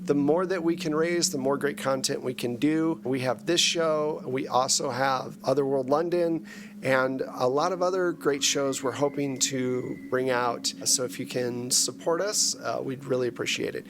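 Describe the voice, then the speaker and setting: A man's voice: medium pitch, normal speed. A man hosting and narrating a tabletop role-playing game in casual, improvised talk. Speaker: game master and host. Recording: clear background noise.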